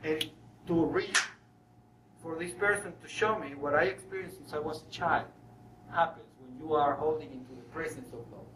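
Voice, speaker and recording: A man from Venezuela giving a spiritual talk to an audience, telling personal stories in an animated way and acting out bits of dialogue. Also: on the high side, moderate pace. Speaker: guest lecturer, spiritual speaker. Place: room with an audience. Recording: roomy, distant mic, faint noise.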